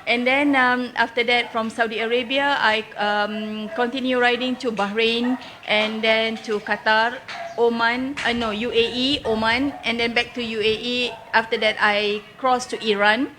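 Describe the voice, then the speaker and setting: Higher-pitched Malaysian woman over background noise. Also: fairly even intonation, moderate pace, bright, forceful tone. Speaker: interview guest, motorcycle world traveller. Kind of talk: unscripted interview answers, travel storytelling.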